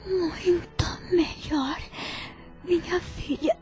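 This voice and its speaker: A woman playing a frail, serene older patient near death in an audio drama, with a faint, labored, breathy voice and a Brazilian accent. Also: very high-pitched, steady tone, very slow, dark tone, faint music behind, heavily acted. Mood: weak, grateful.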